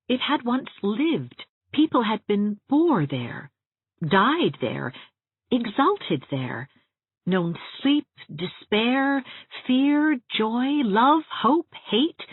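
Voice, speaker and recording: A woman narrates a storybook in an expressive, scripted first-person style. Her voice is a little low and noticeably breathy. The recording is very dry and narrow, as if through a telephone. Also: no echo. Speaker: audiobook narrator. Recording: narrow phone-like sound, very dry.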